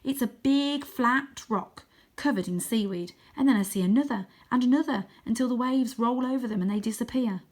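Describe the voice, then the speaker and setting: A woman's voice: fairly high pitch, normal speed. Woman reading a children's story expressively. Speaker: story reader.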